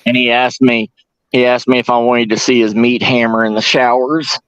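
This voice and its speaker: A man's voice: mid-range voice, flat delivery, moderate pace, a bit bright. A man joking around in silly, teasing casual banter over dull, lo-fi audio.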